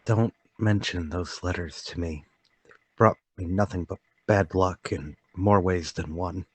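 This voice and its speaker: Man playing a meek, haunted, low-voiced ex-salesman. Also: unhurried, a little mellow, breathy edge, no echo. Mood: bitter, troubled. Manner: polite, apologetic, self-pitying. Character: meek, forgetful, haunted former traveling salesman. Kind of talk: radio play table read.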